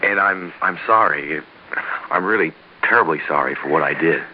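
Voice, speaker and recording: An actor playing an earnest, apologetic young American ex-convict, halting and deferential, in an old-style radio drama. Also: male voice, a bass voice. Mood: contrite. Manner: halting, stammering, pleading, deferential. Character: earnest, apologetic young ex-convict husband, eager to prove himself. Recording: dull, hissy old radio recording.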